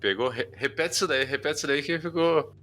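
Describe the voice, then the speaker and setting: A man's voice: on the high side, a little fast, bright, forceful tone. A man with a Brazilian accent hosting a podcast in an informal, slangy, chatty way. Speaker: podcast host. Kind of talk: casual chat. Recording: music behind the voice.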